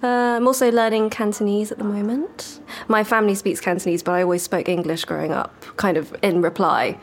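A woman violinist in a casual interview who explains things in a measured, thoughtful way. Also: mid-range voice, fairly even intonation.

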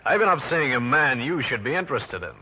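A man's voice: mid-range voice, very animated, fairly quick, slightly rough. A man playing a tough, wry, unruffled private detective with an American accent, in an old-time radio drama. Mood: accusing, cool. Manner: wry, unruffled, hard-boiled. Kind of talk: 1940s or 1950s radio detective acting. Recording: muffled old radio recording.

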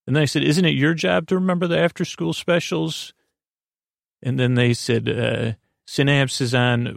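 A man with a creaky voice hosting a bedtime podcast, rambling haltingly and repeating himself in a lulling, soothing way. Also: mid-range voice, no echo, studio quality. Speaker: podcast host.

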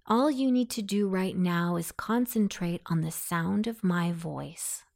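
A woman narrating a guided meditation in a soothing, deliberate, instructing manner. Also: on the low side, creaky, darkish tone.

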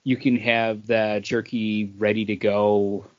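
A man's voice: lowish voice, flat delivery, slow pace, muffled recording. A man talking casually as a podcast co-host, explaining things in a conversational way.

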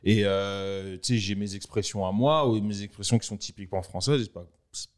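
A man's voice: fairly low pitch, steady tone, moderate pace. A comedian guest speaking with a French accent from France, slangy and laid-back, laughing often as he talks. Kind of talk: casual conversation.

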